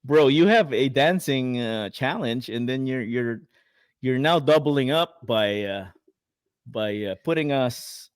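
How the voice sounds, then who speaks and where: A man's voice: medium pitch, lively intonation, slow, clean tone, dry recording. Filipino guy cohosting a chat show, rambly with lots of uh and um, dry close sound. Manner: rambling, filler-heavy, laughs while talking. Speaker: podcast co-host. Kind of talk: casual chat.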